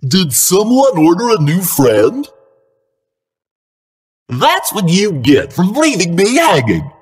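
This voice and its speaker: A husky animatronic bear mascot from a horror video game, drenched in long reverb. The delivery is slow and swoops wildly, like a showman being playful and sing-song. Every friendly line carries sly menace. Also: mid-range voice, wildly swooping pitch. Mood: sly, taunting. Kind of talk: theatrical video game character lines.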